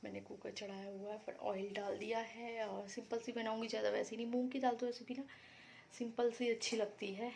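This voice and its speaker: A woman vlogging about her day in a casual, rambling way, a little hoarse from being unwell. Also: fairly high pitch, little pitch movement, a little fast, a little mellow, slight background noise. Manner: chatty, rambling, explains herself to viewers. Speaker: vlogger housewife. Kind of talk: casual daily vlog chat.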